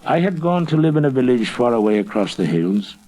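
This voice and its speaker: An old shepherd, acted out by a man, gives his eyewitness account of a ghostly sight. The voice is lifted and husky, with a haunted, awestruck intensity fit for a dramatic folk tale. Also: mid-range voice, sing-song, fairly quick, dark tone, voice pushed up, low-quality recording.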